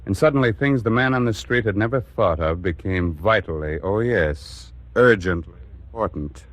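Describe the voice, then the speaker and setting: A man playing a grave, brooding storyteller in a 1940s radio drama, speaking deliberately with ominous pauses. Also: on the low side, fairly bright tone, a little hiss or hum, lightly acted. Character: grave, brooding male storyteller of a wartime chronicle. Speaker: narrator.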